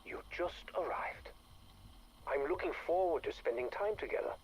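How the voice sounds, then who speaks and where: An actor playing a calm male scientist who logs his work in a measured, clinical way and speaks gently to his creation. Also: on the high side, a little hiss or hum, lightly acted, rough recording.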